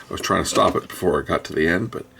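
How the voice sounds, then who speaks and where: A man's voice: deep voice, racing, creaky, background noise. A middle-aged man giving casual, hands-on commentary while demonstrating electronics at an indoor workbench. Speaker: presenter of an electronics repair video. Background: music from tape and player.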